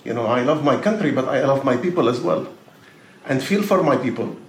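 An Arabic-speaking man from Israel, a podcast guest who talks thoughtfully and haltingly with many fillers. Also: mid-range voice, expressive, reverberant, slight background noise. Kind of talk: podcast interview.

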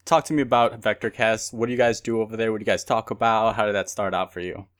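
Low-voiced male podcast host. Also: steady tone, fairly bright tone. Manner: measured, hesitant with ums. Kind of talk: casual podcast interview.

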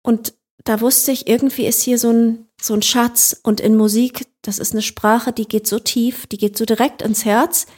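A woman's voice: a little high, level tone, normal speed, few pauses, a little mellow, breathy edge. A woman musician talking about her work as an interview guest, thoughtful and searching for words, with frequent fillers and restarts. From Germany. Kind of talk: reflective interview talk.

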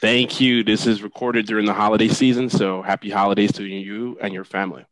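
A man's voice: on the low side, level tone, brisk, fairly bright tone, no echo, poor audio. A man hosting an interview show, talking with his guest. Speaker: podcast host.

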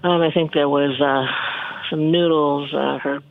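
A chef from Michigan talking casually as an interview guest, relaxed and rambling, with many ums and restarts. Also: medium pitch, measured pace, gravelly. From Michigan, USA. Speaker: chef and cookbook author, guest. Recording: tinny phone line, dry.

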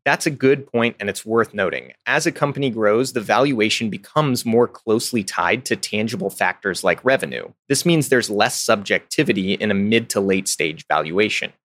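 A man narrates a business story from a script, smooth and measured. His voice is lowish and comes across close to the mic. The booth is dry, with no room sound around him. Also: dry recording. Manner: polished, even storytelling delivery. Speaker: podcast narrator.

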